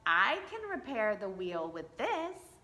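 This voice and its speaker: A woman's voice: mid-range voice, huge pitch swings, slow, pushed and bright, full character voice. A woman voicing an eager, earnest little wooden puppet villager in a storybook.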